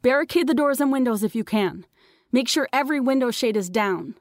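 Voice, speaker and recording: A woman narrator performs a besieged mother in an audiobook story. Her voice is lifted high and insistent, calling out with protective authority. The sound is very dry, with little room around it. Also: animated, a little fast, no echo, close to an everyday voice. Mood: urgent orders. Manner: raised, insistent, ordering. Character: besieged mother, urgent, commanding, protective, shouting up to her children. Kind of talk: audiobook character dialogue.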